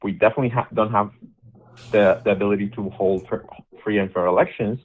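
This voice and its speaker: A man from Venezuela argues his case on a call-in show, insistent and talking over the other voices. His lowish voice comes through a narrow, tinny phone line. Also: fairly even intonation, quick pace, breathy edge. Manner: insistent, talks over others, many restarts. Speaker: caller. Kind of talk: heated call-in debate.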